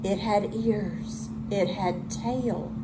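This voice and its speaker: A woman teacher reading a children's storybook aloud with expressive delivery. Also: medium pitch, drawn-out words, noisy background.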